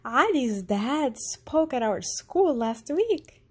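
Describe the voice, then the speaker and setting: Woman as caring little girl, storybook young doctor, higher voice, very lively intonation, inquisitive. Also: takes it slowly, husky, full character voice. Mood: eager, proud. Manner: earnest, inquisitive. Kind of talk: storybook character voice.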